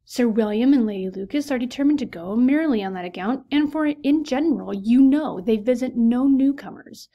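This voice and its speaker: A woman reading aloud, voicing a fussy, excitable middle-aged mother who exclaims and insists in a raised voice. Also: on the high side, brisk, few pauses, lo-fi sound. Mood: insistent.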